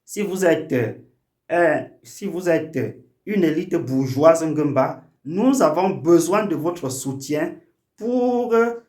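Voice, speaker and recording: A man's voice: on the high side, animated, takes it slowly, a little mellow, echoey room. A man who hosts a video channel and makes a formal, earnest appeal to his community, with frequent hesitations. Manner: formal, oratorical, many hesitations. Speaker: language activist and YouTube channel host.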